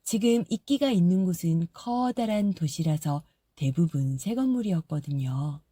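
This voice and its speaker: Soft, husky woman reading a fairy tale. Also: lowish voice, expressive, normal speed, no echo, clean studio sound. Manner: soft, gentle storytelling. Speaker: audiobook narrator. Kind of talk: scripted storybook narration.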